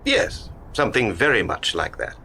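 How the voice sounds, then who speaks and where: A man's voice: lowish voice, wildly swooping pitch, moderate pace, pushed and bright, heavily acted. An actor in a radio play playing a cryptic, courteous male stranger who speaks formally and slyly. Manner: formal, enigmatic. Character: smooth, cryptic male stranger making an offer, politely sly. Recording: clear background noise, vehicle ambience.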